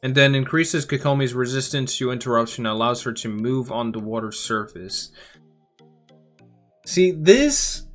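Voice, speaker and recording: A man giving casual game reaction commentary as a YouTuber, talking informally and rambling as he thinks out loud. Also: medium pitch, lively intonation, moderate pace. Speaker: gaming YouTuber. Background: music.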